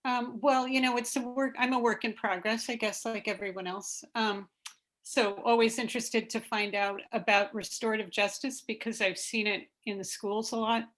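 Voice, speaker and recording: A woman's voice: a little high, steady tone, moderate pace, fairly bright tone. Hesitant woman faculty member. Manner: hesitant, many fillers. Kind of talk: casual self-introduction. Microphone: video call.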